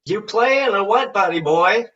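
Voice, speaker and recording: A man's voice: high voice, expressive, unhurried, fairly bright tone. A man acting a cocky young farm boy in a film script table read, with a swaggering, folksy way of talking. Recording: muffled, distant microphone.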